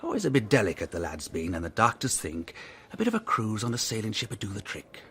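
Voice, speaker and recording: An actor playing a commanding fixer for powerful men in a radio drama, blunt and coaxing by turns, with menace underneath. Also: male voice, on the low side, huge pitch swings, fast talker, a bit bright. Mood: smoothly persuasive.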